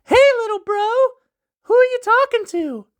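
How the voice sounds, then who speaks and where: A woman's voice: very high-pitched, lively intonation, moderate pace, no echo, studio quality, voice pushed up. A man voicing a cheerful, affectionate young woman who is an older sister, in a storybook reading. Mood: chirpy.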